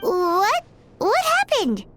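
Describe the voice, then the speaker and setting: Super high squeaky little fairy kid for anime game, excitable, nosy, music behind. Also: female voice, very slow, exaggerated voice. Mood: curious, astonished. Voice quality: squeaky, childlike. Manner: exclamatory, nosy. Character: tiny excitable floating fairy girl companion.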